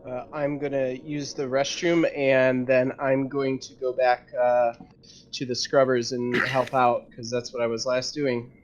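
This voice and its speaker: A man's voice: mid-range voice, little pitch movement, a little slow, a little hiss or hum. A man talking casually as a player in a tabletop roleplaying game. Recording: dull lo-fi sound, music behind.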